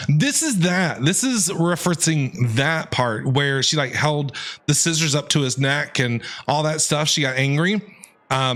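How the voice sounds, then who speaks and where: A man's voice: fairly high pitch, fairly bright tone. A man streamer reading game text aloud expressively and reacting with casual, slangy asides.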